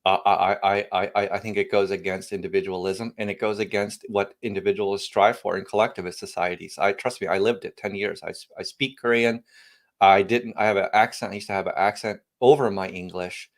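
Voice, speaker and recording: Deep-voiced man explaining deep tech. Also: steady tone, fairly quick. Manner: rambling, frequent restarts, emphatic bursts. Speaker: guest, tech company founder. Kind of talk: podcast interview, casual technical talk.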